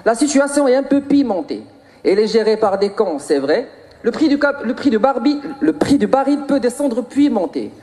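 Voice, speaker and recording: A man with a Moroccan accent performing spoken-word slam poetry in a theatrical, rhythmic, punchy delivery with drawn-out line endings. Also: very high voice, lively intonation, warm tone. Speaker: slam poet.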